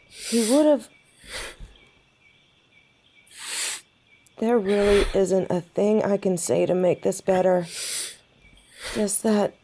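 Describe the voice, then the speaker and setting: Mellow-voiced hot-headed she-wolf played by a woman. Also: medium pitch, creaky. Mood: solemn, sympathetic. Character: young female beta werewolf, hot-headed, stubborn, feisty. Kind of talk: audio roleplay drama acting.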